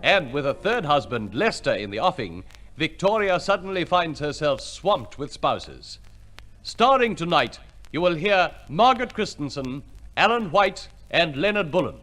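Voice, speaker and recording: A man with a high voice and sweeping intonation, announcing in formal 1950s radio style. His delivery is theatrical and scripted, with prominent music around it. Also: big pitch swings, unhurried, a bit bright.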